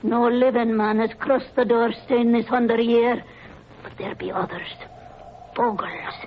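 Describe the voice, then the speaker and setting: Brief: fearful old Scottish housekeeper, hushed and superstitious, a bit high, dull old radio with hiss. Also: female voice, fairly even intonation, measured pace. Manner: superstitious, hushed, dialect-heavy. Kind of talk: 1940s radio acting.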